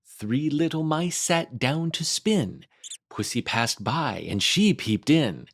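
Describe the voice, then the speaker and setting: A man's voice: on the high side, lively intonation, slow pace, fairly bright tone, dry recording, studio quality, full character voice. A man voicing tiny merry mice that chant teasing rhymes in a singsong way for a storybook. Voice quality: little, twittering. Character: tiny merry singing mice, taunting a cat.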